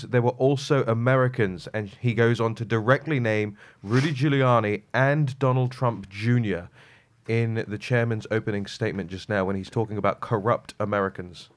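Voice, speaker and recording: A man with an ordinary mid-range voice cuts in as co-host with breaking news. He reports quickly and urgently, reading out quoted statements. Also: medium pitch. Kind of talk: news update.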